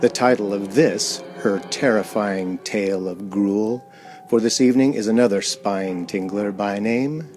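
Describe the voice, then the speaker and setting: Man as a solemn low-voiced radio announcer. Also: measured pace, darkish tone, soft background music, close to an everyday voice. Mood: solemn, ominous. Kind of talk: old-time radio announcing.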